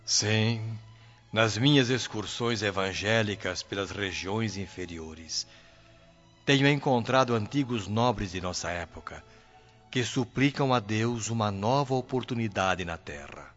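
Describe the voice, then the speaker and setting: An actor playing a devout, compassionate spirit in a radio drama, speaking solemnly and earnestly. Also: male voice, on the low side, expressive, a little slow, a bit bright. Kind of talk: radio play acting. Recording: dull lo-fi audio with music.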